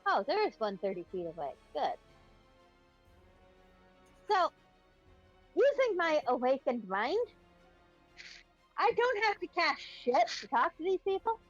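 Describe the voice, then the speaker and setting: A woman's voice: high-pitched, very animated, a little slow, long pauses. A woman chatting casually as a tabletop game player, thinking aloud hesitantly, on slightly dull call audio. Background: background music.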